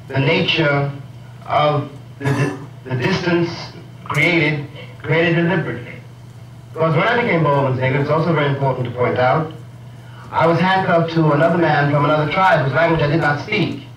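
A man telling a reflective story in a measured, ironic way, heard from an old film clip. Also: on the high side, fairly bright tone, echoey room, recorded from a distance, dull audio, slight background noise. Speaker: speaker in an archival film clip. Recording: old film clip played in a room from afar.

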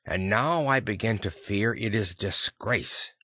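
A man's voice: on the low side, a little slow, pushed and bright, slightly rough, no echo, studio quality, full character voice. Man reading as a sober, formal Victorian lawyer. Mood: troubled. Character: sober, worried older Victorian gentleman lawyer.

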